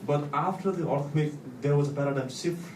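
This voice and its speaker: A man from Nepal with a Nepali accent, speaking personally to an audience in halting, deliberate phrases. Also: fairly high pitch, darkish tone. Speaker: guest speaker, volunteer. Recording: echoey room, distant mic, clear noise.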